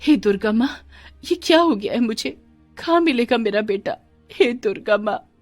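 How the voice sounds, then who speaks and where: A woman's voice: fairly high pitch, lively intonation, normal speed, soft background music, pitched above the performer's own voice, natural voice. A woman voicing a distraught mother searching for her son, tearful, wailing and desperate, in a dramatized story. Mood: anguished.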